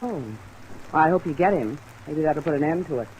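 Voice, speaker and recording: A woman's voice: low voice, fairly quick, a little mellow, lightly acted, poor audio. An actress playing a polite, secretive woman on the run in an old radio drama, composed and apologetic. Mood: polite, guarded. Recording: vintage radio recording, rain noise.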